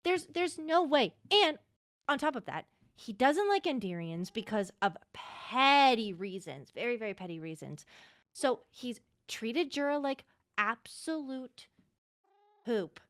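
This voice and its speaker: A woman's voice: on the high side, lively intonation, dry recording. A woman playing an outspoken, suspicious young court confidant in game roleplay, talking informally and with heavy emphasis. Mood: emphatic, suspicious. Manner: emphatic, informal, drawn-out stresses. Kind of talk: improvised game roleplay dialogue.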